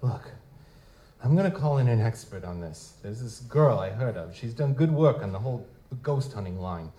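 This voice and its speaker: A man as a world-weary, mellow noir private eye. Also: mid-range voice, animated, normal speed, lightly acted. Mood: firm. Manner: wry, slangy, hard-boiled. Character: world-weary male lutin private investigator, gruff and cynical. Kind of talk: noir detective narration in live audio drama. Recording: live convention performance, clear background noise.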